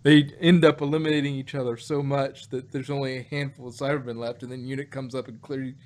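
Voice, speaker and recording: A man chatting casually about stories in a rambling, halting way, often repeating words as he works out a thought. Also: mid-range voice, flat delivery. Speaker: podcast host reviewing audio dramas. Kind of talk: casual chat, fan speculation. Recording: noticeable background noise.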